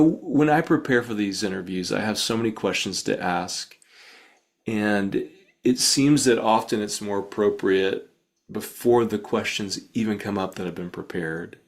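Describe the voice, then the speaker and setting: A man hosting a podcast interview, speaking conversationally and deliberately, in short phrases. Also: lowish voice.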